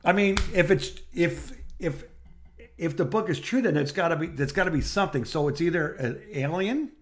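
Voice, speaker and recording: A man with a somewhat higher-pitched voice chats informally on a podcast. He rambles and halts, often restarting while he searches for words. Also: moderate pace, a little hiss or hum. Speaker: podcast co-host. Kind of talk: casual chat.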